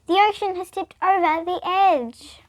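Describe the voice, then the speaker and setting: A woman's voice: very high voice, measured pace, pitched above the performer's own voice. A female reader voicing a bossy, indignant young girl in a storybook, speaking emphatically with an Australian accent. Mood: exasperated.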